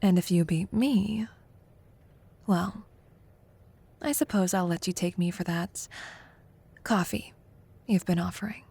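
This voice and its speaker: A young woman playing a competitive college student in an intimate audio roleplay, a teasing, prickly but secretly fond rival who talks haltingly. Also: on the low side, gravelly, mellow, breathy edge, full character voice. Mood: coy, challenging. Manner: teasing, halting, flustered deflection.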